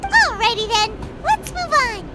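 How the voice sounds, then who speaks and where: A tiny, chirpy fairy girl for a video game. The voice is extremely high and childlike, swinging up and down with curiosity. Clear noise sits behind the game audio. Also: sing-song, measured pace, exaggerated voice. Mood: chirpy, observant. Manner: childlike, refers to self in third person. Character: small floating fairy companion girl, childlike, chirpy, inquisitive.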